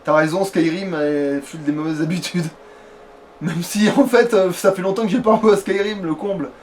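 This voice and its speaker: A man's voice: high-pitched, a little fast, a little mellow, slight background noise. A man giving casual let's play commentary, rambling and playful, swearing now and then. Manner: rambling, playful, mildly foul-mouthed. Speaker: let's player, playing and hosting the video.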